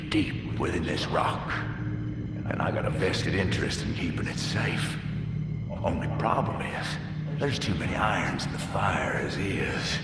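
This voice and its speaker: A deep, unhurried Southern villain speaks like a self-made god, folksy yet grandiose and menacing. His game-character voice hangs in long reverb under loud music. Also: distant mic. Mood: commanding. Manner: folksy, grandiose, slow and deliberate. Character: menacing self-styled god, commanding male villain leader. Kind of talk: dramatic video game villain acting.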